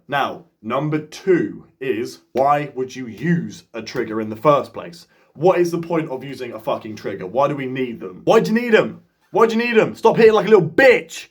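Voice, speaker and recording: A man of twenty-one, a drummer, rambling casually to camera with lots of swearing and jokes. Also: medium pitch. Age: 20s. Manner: profane, loose and jokey. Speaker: drummer and video host.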